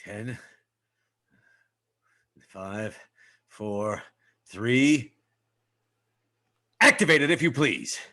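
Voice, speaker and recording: A man's voice: mid-range voice, lively intonation, very slow, pauses often, sharp tone, heavily acted. A man playing a proud, gallant, old-fashioned starship captain with formal, theatrical speech in a script table read. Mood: urgent, commanding. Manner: formal, theatrical, clipped orders.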